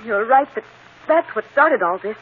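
A fretful, frightened young woman, played by an actress in melodramatic radio style. Her halting delivery swings widely in pitch. The recording is thin and boxed-in, with faint noise. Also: expressive, lightly acted. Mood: frightened, pleading. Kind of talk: old-time radio drama acting. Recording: tinny, noisy old radio broadcast.

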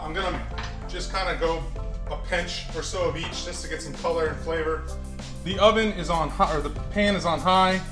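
A man in his thirties talking through a home cooking vlog in a relaxed, jokey way, filmed in a large kitchen by a camera across the room. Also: fairly high pitch, far from the mic. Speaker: cooking vlogger. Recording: roomy kitchen sound from the camera, music behind. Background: background music.

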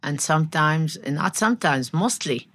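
A middle-aged woman whose first language is Arabic, a trainer and translator, answering in a podcast studio and pausing to search for words. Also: low-pitched, expressive, fairly bright tone, a little hiss or hum. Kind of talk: interview answer. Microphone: studio mic, headphones.

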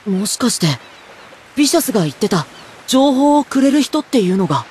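Animated, earnest teenage boy hero. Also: fairly high pitch, brisk, a little hiss or hum. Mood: hesitant, grateful. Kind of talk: Japanese anime game voice acting.

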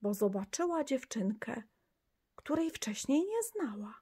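A woman reads a children's story aloud in a lively way, with a fairly high voice. She takes her time over every sentence and gives the children's lines brighter, higher voices. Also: unhurried, slightly rough. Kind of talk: children's audiobook reading with character voices.

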